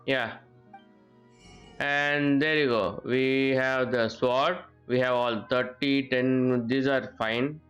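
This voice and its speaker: A man's voice: medium pitch, steady tone, slow pace, a bit bright, lo-fi sound. Man rambling through live game commentary, music behind. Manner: rambling, thinking aloud, repeats phrases. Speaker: game streamer. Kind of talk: casual live gameplay commentary. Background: game music and character voices.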